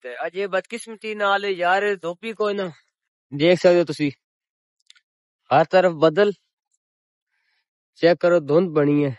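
A man's voice: high voice, slow, long pauses, no room sound, studio quality. A young man in his twenties with a Punjabi accent, chatting to his viewers in a casual village vlog, filmed on a phone held at arm's length outdoors on a windy day. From Pakistan. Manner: chatty, addresses viewers as public. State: recovering sore throat. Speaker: village vlogger. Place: open countryside outdoors on a cloudy, windy day.

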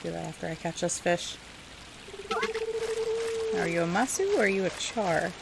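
A woman's voice: fairly low pitch, lively intonation, moderate pace, creaky, very noisy. A woman giving casual, chatty gameplay commentary as host, often talking aloud to the game's characters. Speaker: gaming video host.

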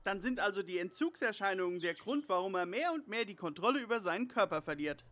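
Actor as teen boy sleuth, forceful bright tone, brainy, cheeky, excitable, parody audio drama. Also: medium pitch, quick pace, natural voice. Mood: matter-of-fact. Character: brainy teasing teenage boy detective Karl.

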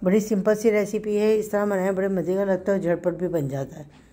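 A woman with a Pakistani Urdu accent and a hoarse, sore throat, chatting through a home recipe step by step and correcting herself as she goes. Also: mid-range voice, steady tone, brisk, dark tone, a little hiss or hum. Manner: chatty, explains step by step with small self-corrections. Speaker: home cook host. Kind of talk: casual cooking narration.

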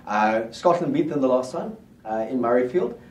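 A middle-aged rugby pundit talking in a small studio, confident and steady as he lays out his predictions. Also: medium pitch, moderate pace, far from the mic. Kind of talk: casual rugby chat. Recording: roomy studio sound, mic set back.

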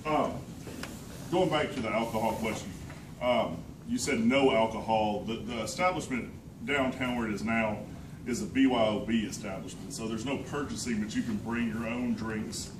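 Loud plain-spoken man from the audience. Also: on the low side, normal speed, noisy background. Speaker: audience member. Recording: echoey hall, distant phone mic.

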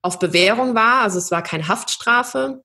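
A young woman from Germany telling her own story in an interview, thoughtful, with many pauses and fillers. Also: on the high side, bright, forceful tone. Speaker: podcast guest. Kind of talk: personal interview.

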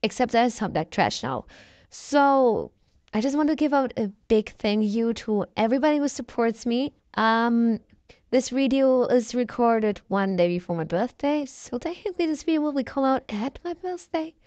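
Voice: mid-range voice, lively intonation, moderate pace, a little mellow, no echo. A young-sounding voice run through a voice changer, chatting casually in a rambling vlog. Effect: voice changer filter. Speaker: content creator.

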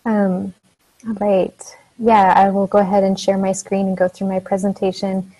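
Soft-spoken young woman presenting. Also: mid-range voice, flat delivery, normal speed, low-quality recording. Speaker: teacher giving a webinar presentation. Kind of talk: informal educational presentation. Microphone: earphone mic on a video call.

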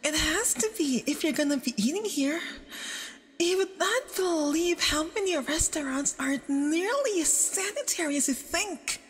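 A woman playing a nervous, clingy, obsessive young femboy boyfriend on a date in an ASMR roleplay, halting and doting, with doubled, reverberant audio. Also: high-pitched, animated. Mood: anxiously reassuring. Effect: doubled audio with added reverb. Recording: long reverb, doubled voice track.

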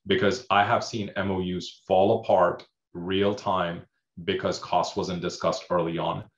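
A man's voice: deep voice, level tone, measured pace. A man whose first language is Hindi, speaking as an emergency management panelist on a video call, explaining with many fillers and checking "okay?". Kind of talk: panel discussion. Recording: roomy, dull video call audio.

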